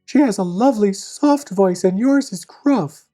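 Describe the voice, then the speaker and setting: Little goat children behind a bolted fairy-tale door, piping up high and defiant , as a woman reader plays them. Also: lively intonation, measured pace, dark tone, soft background music. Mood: wary, defiant. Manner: high, firm, scolding refusal. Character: seven young goat kids, wary and defiant.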